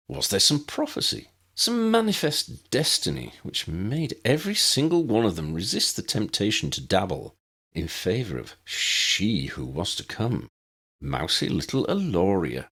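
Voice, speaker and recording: A man voicing an erudite, unflappable old wizard in a fantasy audiobook, measured and pedantic with a needling edge. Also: fairly low pitch, fairly bright tone, voice pushed up, exaggerated voice. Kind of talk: fan-fiction audiobook character voice.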